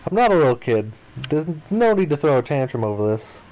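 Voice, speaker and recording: Male playthrough host, mid-pitched voice, reading visual novel narration aloud with expressive, dramatic delivery. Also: a little fast, rough recording. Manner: expressive, acts out the protagonist's lines.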